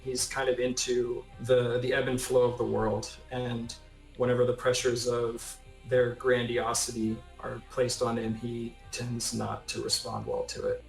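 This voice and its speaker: A man's voice: medium pitch, measured pace, gravelly. A man playing a tabletop role-playing game, casually thinking aloud about his character. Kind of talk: casual improvised character discussion. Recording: roomy echo on the voice. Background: faint music.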